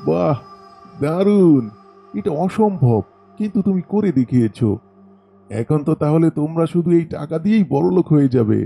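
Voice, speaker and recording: A man voicing an eager, easily amazed old village spectator in an animated story, full of exclamations. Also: fairly high pitch, moderate pace, vocal fry, pauses often, breathy edge, faint music behind, full character voice. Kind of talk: animated horror story dialogue.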